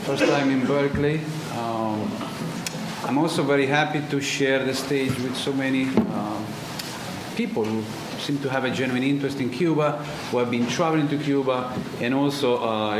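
An Italian-accented man gives a conference talk on economics, stopping often to search for words. His voice is of middling pitch. He sounds reverberant, as if heard in a large hall, with clear background noise. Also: steady tone. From Italy. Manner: halting, many ums and uhs, searching for words.

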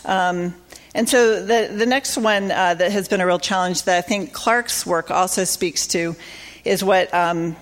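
A woman's voice: fairly low pitch, steady tone, a little slow. A woman organizer giving a conversational conference talk about faith-based advocacy, with many fillers and restarts.

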